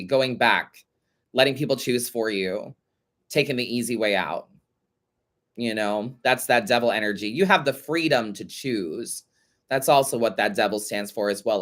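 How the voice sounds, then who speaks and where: A man's voice: medium pitch, fairly bright tone. Man, congested nose, casual tarot reader, chatty, says babe and honey, drops the odd curse word. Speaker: tarot reader and show host. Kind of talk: casual advice reading of listener questions.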